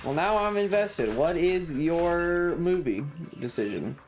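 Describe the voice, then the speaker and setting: Animated, sarcastic streamer with music behind. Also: mid-range voice, slow pace. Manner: sarcastic, profane. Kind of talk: casual game stream chat.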